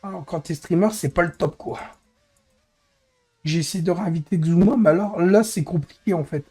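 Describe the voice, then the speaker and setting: Hoarse man, game streamer, grumbling, exasperated, somewhat high voice, dark mellow tone. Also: moderate pace. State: hoarse, losing his voice. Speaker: streamer and racing league head. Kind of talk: live racing game stream commentary.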